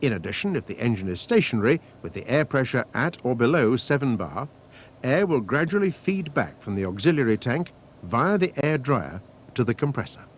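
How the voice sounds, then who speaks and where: A man reading scripted technical instructions as a training film narrator, in a measured, methodical, formal manner. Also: medium pitch, sing-song. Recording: faint noise, archival training film soundtrack.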